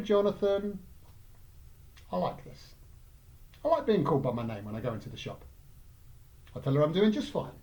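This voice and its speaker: Man, English accent, medium pitch, first-person storytelling, light-hearted reading. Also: a little mellow, poor audio. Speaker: story reader.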